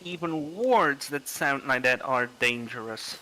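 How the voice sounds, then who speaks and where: A man narrating a horror game on a livestream, reading aloud deliberately with pauses. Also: mid-range voice, animated, bright, forceful tone, rough recording. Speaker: streamer reading a visual novel aloud.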